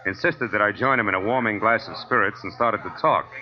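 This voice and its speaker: An actor playing a hard-boiled private detective who narrates a 1940s radio drama, dry and world-weary. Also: male voice, on the low side, brisk, lo-fi sound, background noise, close to an everyday voice. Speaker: narrator and lead. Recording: old radio broadcast with music.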